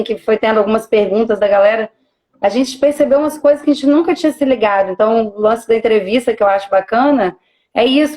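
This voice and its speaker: Mulher de voz um pouco aguda, conversa descontraída. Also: female voice, a little high. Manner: relaxed, chatty, many fillers. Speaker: physical educator and host. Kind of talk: casual live-stream interview.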